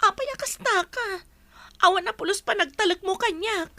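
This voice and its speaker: A woman's voice: very high voice, a little fast, sharp tone, no room sound, heavily acted. A Filipino woman playing a distressed young wife and mother in a radio drama, speaking in a plaintive, pleading way. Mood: frustrated. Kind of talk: Philippine radio drama acting.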